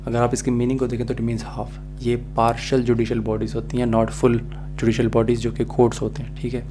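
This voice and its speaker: A man's voice: medium pitch, warm tone. A man gives an explanatory law lecture, speaking didactically and mixing in English phrases. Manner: didactic, checks in with listeners often. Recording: faint background hum.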